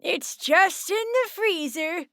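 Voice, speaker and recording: Woman playing a high-voiced, dotty old lady. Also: animated, slow pace, a bit bright, soft background music, full character voice. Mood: offhand suggestion. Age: older. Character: eccentric, hunched elderly woman fixated on her casserole.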